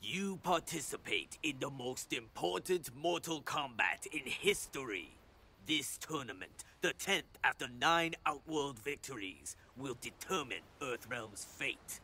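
An actor playing a sly, imperious old sorcerer who hosts a tournament in a video game, with theatrical, commanding menace. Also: male voice, mid-range voice, expressive, a little slow, bright, forceful tone, a little hiss or hum. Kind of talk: video game cutscene acting.

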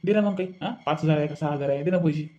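A man's voice: fairly high pitch, rapid-fire, dark tone, highs cut off, natural voice. A man voicing an indignant, grumbling village family man in a comedy cartoon, with a Varhadi Marathi accent, repeating his phrases. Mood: wheedling.

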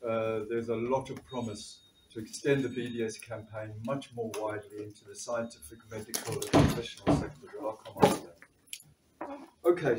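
A man's voice: medium pitch, recorded from a distance, noisy background. South African man speaking in an echoey room. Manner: earnest, reads figures from notes, frequent restarts. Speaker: doctor and panel speaker. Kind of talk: public talk at a meeting. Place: bright meeting room, standing before a panel table. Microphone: far camera.

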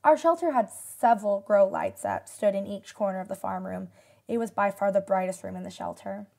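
An actress playing a wistful disaster survivor in a radio drama, recalling the past in a measured, reflective way. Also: female voice, medium pitch, creaky, darkish tone. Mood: matter-of-fact. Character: female asteroid-disaster survivor recalling shelter life, wistful. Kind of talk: radio drama acting as interview testimony.

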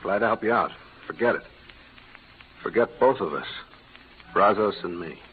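A man acting a steady, laconic cowboy hero in a 1940s radio drama. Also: on the low side, moderate pace, slightly rough. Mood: matter-of-fact. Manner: laconic, matter-of-fact. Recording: old noisy radio recording, phone-like band.

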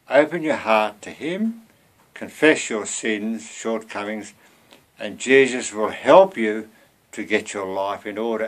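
A man in his seventies giving an informal, earnest, conversational sermon to camera as a lay preacher, often turning to rhetorical questions, recorded at home on a computer mic. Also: on the low side. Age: 70s. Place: small cluttered room at home.

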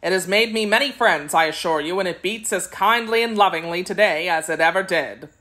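A woman's voice: on the low side, fairly quick, a bit bright, lightly acted. A woman voicing a kindly, earnest man made of tin in a storybook, with courteous manners. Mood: grateful, earnest.

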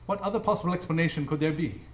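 An actor playing a stern, agitated analytical detective, formal and accusing, in a live radio drama. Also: male voice, on the high side, very fast, lightly acted. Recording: roomy live stage echo.

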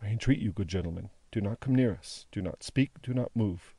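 Casting: man as devoted young daughter in classic audiobook. Gentle, pleading, rhythmic appeals, soft dark timbre, dry sound. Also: normal speed, no echo, lightly acted. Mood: quiet entreaty. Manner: low, tender, imploring, rhythmic appeals.

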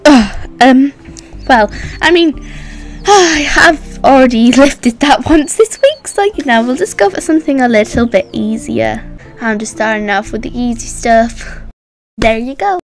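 A woman's voice: sits high, unhurried, faint music behind. A woman hosting a voiced roleplay vlog, bubbly and chatty, reacting with gasps and hums. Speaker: roleplay channel host.

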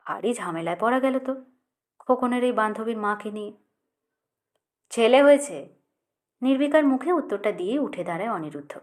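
Woman, audiobook narrator, higher-pitched voice, expressive literary storytelling. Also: fast.

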